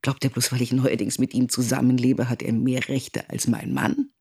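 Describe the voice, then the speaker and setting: Irritated, husky estranged spouse. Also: male voice, medium pitch, level tone, normal speed, darkish tone. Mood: irritated, matter-of-fact. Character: estranged spouse negotiating coolly, irritated and precise. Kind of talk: first-person radio drama monologue.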